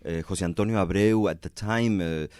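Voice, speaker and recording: A man's voice: low voice, a little fast, darkish tone, no echo. A man from Venezuela, a concert pianist, answering interview questions in a hesitant way, with many restarts and light chuckles.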